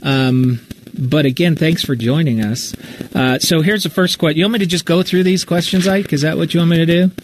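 A man with an American accent and a slightly high voice talks in a loose, informal way, like a host taking questions live. He is chatty and a little self-deprecating. There is faint noise behind him. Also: moderate pace. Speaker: podcast writer and talk show host. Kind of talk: casual live chat answering questions. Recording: noisy live stream audio.

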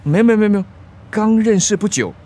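Young man, Singaporean accent, proud but flustered, blustering, lively intonation, radio drama, audible background ambience. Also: sits high, fast, a little hiss or hum. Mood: flustered, nervous. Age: young adult. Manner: blustering, stammering when flustered. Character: young man, private driver, proud yet easily flustered.

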